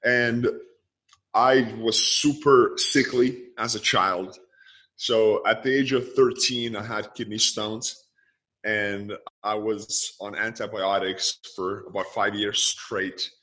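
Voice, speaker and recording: Quick-listing man. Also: fairly low pitch, steady tone, sharp tone, poor audio. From Lithuania. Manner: quick, lists ideas one after another. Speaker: guest, performance coach. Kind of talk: casual podcast interview.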